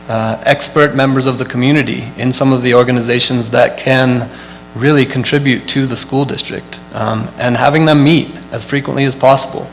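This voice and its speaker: A man's voice: medium pitch, creaky, slight background noise, poor audio. A man school board candidate answering at a forum, hesitant with many fillers.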